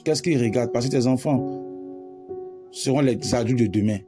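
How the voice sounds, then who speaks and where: A man's voice: mid-range voice, moderate pace, music in the background. A man preaching a warning as an evangelist, emphatic, with repeated phrases. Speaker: evangelist, channel presenter.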